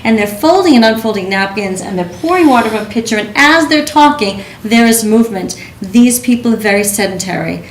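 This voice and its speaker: A woman giving emphatic, animated critique in a casual group discussion, sometimes stumbling over her words. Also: fairly high pitch, a little fast, few pauses. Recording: echoey room, faint noise.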